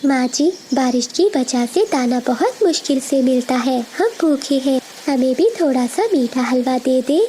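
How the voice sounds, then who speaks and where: Woman voicing a high, polite cartoon sparrow. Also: a little slow, few pauses, warm tone, a little hiss or hum. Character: kind, polite young female sparrow.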